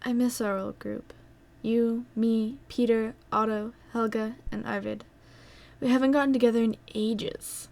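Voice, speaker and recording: Casting: actress as anxious, wistful young woman, fairly high voice, natural wartime audio drama delivery. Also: little pitch movement, unhurried, gravelly, a little mellow. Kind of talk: amateur audio drama acting.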